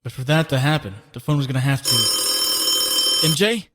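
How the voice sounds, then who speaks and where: A man's voice: mid-range voice, lively intonation, brisk, very noisy. A man voicing an earnest young superhero who also narrates, in a fan comic dub. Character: young male web-swinging hero, married. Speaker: narrator and lead voice actor.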